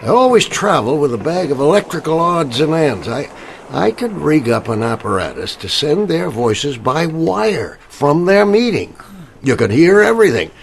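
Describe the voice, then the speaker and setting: An actor playing an excitable, boastful Victorian gentleman inventor in a radio mystery drama. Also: a little high, normal speed, creaky, a little hiss or hum. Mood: boastful, eager.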